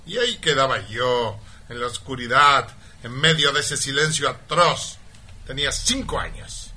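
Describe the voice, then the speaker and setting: An actor playing a pompous, callous, imperious mine owner in a comic radio play. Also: male voice, medium pitch, animated, measured pace, bright, forceful tone, breathy edge, heavily acted. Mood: boastful, reminiscing. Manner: imperious, dismissive, blustering.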